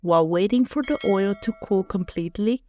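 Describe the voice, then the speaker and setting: A woman's voice: mid-range voice, brisk, darkish tone, a little hiss or hum. A woman with a non-native English accent narrating a home cooking recipe as a voice-over, cheerful and playful. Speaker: cooking channel host.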